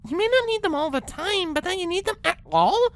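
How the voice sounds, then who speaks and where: A woman's voice: very high-pitched, lively intonation, a little fast. A woman voicing a polite, bookish young female cartoon pony princess, speaking with patient, pedantic precision. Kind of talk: character acting in a fan-fiction story reading.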